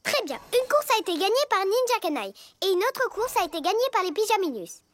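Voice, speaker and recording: A woman's voice: very high-pitched, very animated, fast, slight background noise. A woman voicing a vain, greedy, scheming young villainess in a French cartoon, with a haughty, mock-sweet manner. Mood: smug, scheming. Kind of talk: French cartoon dub acting. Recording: loud music behind the voice.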